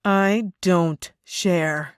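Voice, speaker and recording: A woman playing a possessive young witch girlfriend in an ASMR roleplay, cooing sweetly with drawn-out words and a menacing undertone. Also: fairly low pitch, clear, resonant tone, dry recording, clean studio sound. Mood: possessive threat. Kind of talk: ASMR roleplay audio drama.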